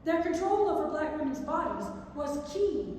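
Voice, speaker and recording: A woman's voice: high-pitched, moderate pace, darkish tone, a little hiss or hum. A woman giving a staged reading of non-fiction prose, recorded from far off in an echoey theatre. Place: large indoor theatre.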